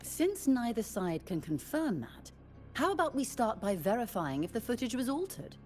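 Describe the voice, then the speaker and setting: An actress voicing a composed, authoritative academy official in a video game, formal and even-handed. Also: female voice, on the high side, normal speed, faint music behind. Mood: calm, official.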